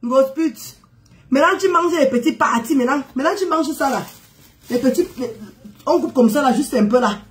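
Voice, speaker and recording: A woman's voice: sits high, a little fast. A woman with a head cold, chatting casually on a live stream, greeting viewers, teasing and exclaiming with frequent laughs.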